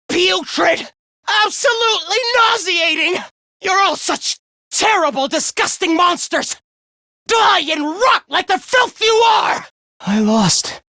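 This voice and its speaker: A man's voice: a bit bright, breathy edge, dry recording, studio quality, exaggerated voice. A man voicing a sadistic young male video game villain, mock-polite and theatrical in his contempt. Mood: weak, bitter. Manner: mock-polite, theatrical, swinging into shrill ranting. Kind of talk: anime-style video game voice acting.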